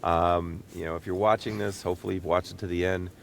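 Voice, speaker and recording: A man's voice: steady tone, fast talker. A man hosting a casual interview podcast in an encouraging, appreciative manner. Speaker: podcast host. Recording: clear low rumbling background noise.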